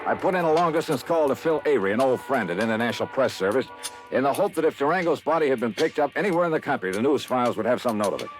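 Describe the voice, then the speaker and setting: A man playing a brisk, hard-boiled investigator telling his case in 1950s American radio detective style. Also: medium pitch, lively intonation, close to an everyday voice. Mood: wry narrating. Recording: vintage radio recording with music.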